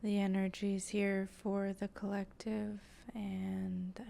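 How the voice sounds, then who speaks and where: Woman, guided meditation host, dark mellow tone, very flat pitch, soft and breathy, near-whisper, halting. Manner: slow, halting, gentle delivery with long pauses. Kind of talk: improvised channeled guided meditation.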